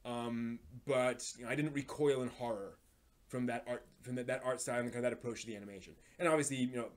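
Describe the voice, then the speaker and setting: A man's voice: mid-range voice, steady tone, fast. A man hosting a casual live stream about anime, thinking aloud and rambling off on tangents as he chats with viewers.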